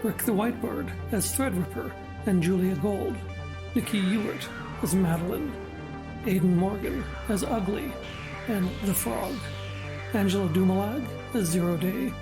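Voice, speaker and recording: A woman's voice: mid-range voice, a little slow, poor audio. Woman reading credits over music. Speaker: credits announcer. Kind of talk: scripted credits narration.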